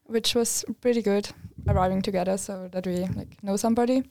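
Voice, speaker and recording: A woman's voice: medium pitch, fairly even intonation, brisk, a little mellow, dry recording, studio quality. A young woman from Austria, an exchange student, chatting casually on a podcast with many fillers. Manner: casual, uses "like" often.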